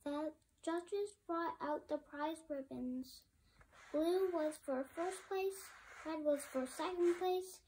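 A young girl reading a children's storybook aloud in a halting way, restarting words as she goes. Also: high voice, a little mellow, rough recording. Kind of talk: halting read-aloud of a picture book.

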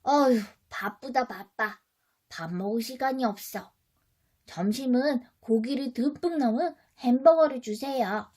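A woman's voice: fairly high pitch, a little slow, warm tone. A woman voicing a self-important, grumbling little boy prince in a storybook. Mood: busy, impatient. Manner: exclamatory, grumbling.

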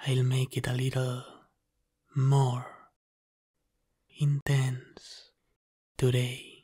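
A man voicing a flirty, dominant demon from the underworld in an intimate ASMR roleplay, soft, breathy and often half-whispered. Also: medium pitch, completely flat delivery. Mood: flirty, coaxing. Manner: slow, teasing, dominant.

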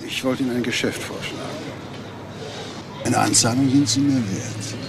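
An actor playing a cold, businesslike middleman in a crime radio drama, speaking in a measured, probing, matter-of-fact way. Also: medium pitch, fairly even intonation, creaky, over music, natural voice. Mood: coolly businesslike. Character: cold, businesslike middleman recruiting a hired killer.

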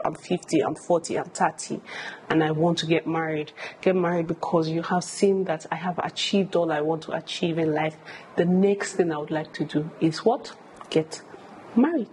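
A woman's voice: fairly low pitch, darkish tone, a little hiss or hum, low-quality recording. A woman in her thirties with a Nigerian accent, a vlogger giving candid, emphatic advice and repeating phrases for stress. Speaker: vlogger answering viewer questions. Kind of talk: candid advice talk to camera. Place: furnished home room, indoors.

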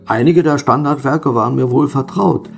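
A man acting a learned, curious but wary gentleman in a German horror radio drama, speaking in measured, formal, old-fashioned diction. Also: mid-range voice, gravelly, husky, soft background music. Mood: grave narration.

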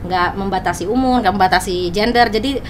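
A woman, chatting casually as a podcast guest and telling things in an animated, expressive way. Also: mid-range voice, brisk, crowd noise. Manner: animated storytelling with sound words and acted quotes. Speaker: content creator, interview guest. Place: small podcast studio, indoors. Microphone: boom-arm studio microphone, headphones on.

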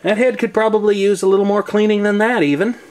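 A man's voice: sits high, fairly even intonation, fast talker, a little mellow. An American man giving a casual hands-on demonstration in a folksy, wry, explanatory way. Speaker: presenter demonstrating tape deck maintenance. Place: indoor room at home. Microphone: handheld camcorder microphone.

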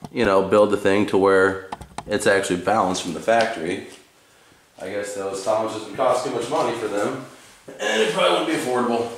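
A relaxed man with a fairly low voice rambles through a hands-on tool demo in his garage. His speech is full of fillers and self-corrections, picked up by the camera mic. The concrete room adds a hard echo, with faint noise behind him. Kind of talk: casual how-to demonstration. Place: home garage with concrete floor.

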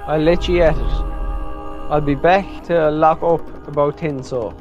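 A man acting an easygoing Irish caretaker in a small-town radio play. His voice sits a little high for a man, and he talks in a practical, everyday way. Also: fairly even intonation, unhurried, a little mellow, highs cut off, faint music behind, natural voice. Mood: friendly, obliging. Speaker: actor in an amateur radio drama. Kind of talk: radio drama dialogue.

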